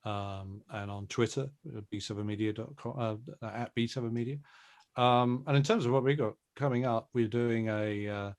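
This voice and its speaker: A man's voice: medium pitch, fairly even intonation, moderate pace. A man speaking as a producer guest in a casual, conversational interview.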